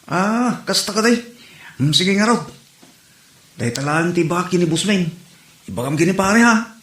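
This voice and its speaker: A man's voice: high voice, expressive, normal speed, fairly bright tone. A man acting a nosy, chatty neighbour in a comedy drama, recorded in an echoey room. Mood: sceptical. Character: nosy, chatty male neighbour checking up on a friend.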